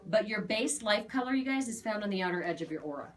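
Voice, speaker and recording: A woman's voice: mid-range voice, lively intonation, quick pace. A woman giving a conversational spiritual reading as an aura reader, talking in a chatty, emphatic, assured way.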